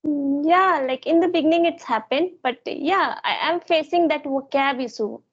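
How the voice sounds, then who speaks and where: High-voiced woman on a dull call. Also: moderate pace. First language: Hindi. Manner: hesitant, many fillers. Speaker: guest sharing her learning journey. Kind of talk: casual English practice conversation.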